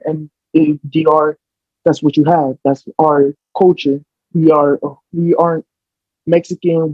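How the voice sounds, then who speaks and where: A man's voice: fairly high pitch, dry recording, highs cut off. A young American man in casual podcast talk, explaining at length with many restarts and fillers. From United States. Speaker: podcast guest. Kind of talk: casual chat.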